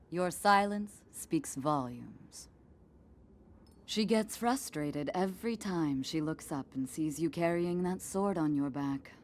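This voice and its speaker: An actress playing a proud Gerudo chief in a game cutscene, speaking in a low, measured, commanding way. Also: female voice. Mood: teasing. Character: proud adult Gerudo chief woman, warm mentor. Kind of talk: video game cutscene acting. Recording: game audio with soft music.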